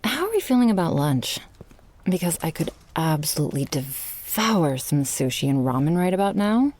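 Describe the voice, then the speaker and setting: A woman playing a guarded but loving shark girl in an ASMR roleplay, speaking softly and intimately with frequent sighs. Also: low-pitched, big pitch swings, normal speed, a little hiss or hum. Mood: warm, relaxed. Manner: soft, breathy, intimate, often sighing. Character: guarded, loving shark girl, former freak show performer.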